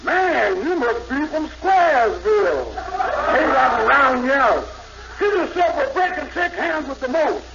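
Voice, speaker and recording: A brash, high-voiced young hipster belts out cocky slang in old radio comedy style. The recording is muffled and very noisy. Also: male voice, lively intonation, measured pace, creaky, full character voice. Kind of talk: 1950s radio comedy acting.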